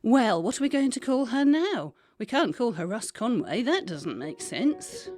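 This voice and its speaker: A British actress playing a sensible, dry-witted office worker in a comedy audio drama, teasing in a matter-of-fact way. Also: female voice, a little high, big pitch swings, measured pace, music in the background.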